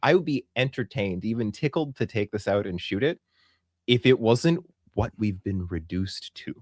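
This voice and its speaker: A man's voice: fairly low pitch, expressive, normal speed, dry recording, clean studio sound. A Canadian man co-hosting a podcast in casual, emphatic, colloquial chat. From Saskatchewan, Canada. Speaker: podcast co-host.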